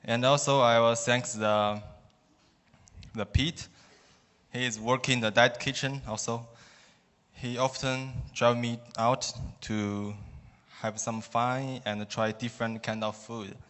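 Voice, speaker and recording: A young man from China with a Chinese accent, giving a personal testimony in halting, careful non-native English. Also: medium pitch, a bit bright. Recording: long hall reverb.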